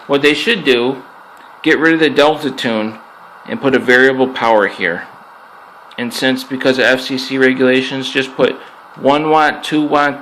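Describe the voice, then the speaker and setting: A man with an ordinary mid-pitched voice talks through a piece of gear in a loose, off-the-cuff way. He is plainspoken and tends to restate points for emphasis. It sounds like an amateur recording made in an apartment, with noise in the background. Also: a bit bright, low-quality recording. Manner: plainspoken, conversational, repeats himself for emphasis. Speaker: amateur product reviewer and radio hobbyist. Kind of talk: casual unscripted hands-on review. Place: indoors in an apartment.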